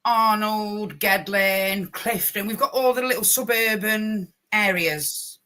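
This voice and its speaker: A woman's voice: mid-range voice, slow pace, sharp tone. A woman from Nottingham with an East Midlands accent, co-hosting a podcast in casual, joking chat and correcting herself as she goes. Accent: English East Midlands. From Nottingham, England. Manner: chatty, self-correcting, joking. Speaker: podcast co-host.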